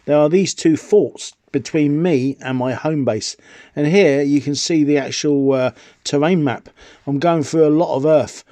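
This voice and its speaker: A man's voice: a little high, unhurried, mellow, no room sound. A middle-aged British man narrating an informal product review in a chatty, explanatory way, posing rhetorical questions as he goes. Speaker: video presenter and radio hobbyist.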